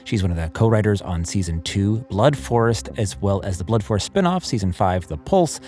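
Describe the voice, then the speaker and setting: Low-pitched man hosting a story podcast. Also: animated, fairly quick, a little mellow, soft background music. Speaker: host and producer of a fiction podcast. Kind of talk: scripted podcast introduction.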